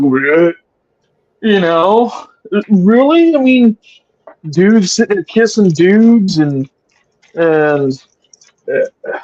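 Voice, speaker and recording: A man chatting casually as a talk podcast guest, opinionated and crude, often halting as he speaks. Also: high voice, darkish tone, no room sound, highs cut off. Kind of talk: casual chat.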